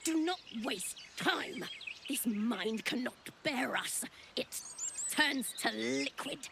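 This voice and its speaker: Slow, creaky possessed woman. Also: sits high, noisy background, heavily acted. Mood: urgent, strained. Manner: halting, urgent, cryptic bursts. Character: possessed woman, frantic mouthpiece of an alien hive mind. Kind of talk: dramatic video game acting.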